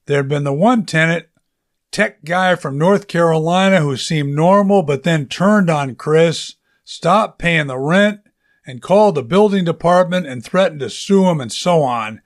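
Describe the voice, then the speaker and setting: Man narrating fiction in a higher-pitched voice. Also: a little slow. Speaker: audiobook narrator. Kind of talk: scripted fiction narration, conversational storytelling.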